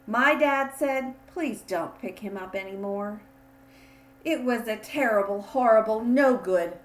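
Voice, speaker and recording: Lively woman reading a kids' story. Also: a little high, roomy sound. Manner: expressive, drawn-out emphasis on repeated refrain. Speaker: children's book reader. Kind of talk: read-aloud storytelling for kids.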